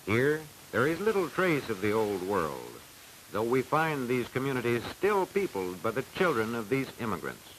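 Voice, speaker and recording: An American man reading scripted narration for a 1940s educational film in a formal, measured, declamatory way, on a hissy old soundtrack. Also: mid-range voice, sing-song, a bit bright, poor audio.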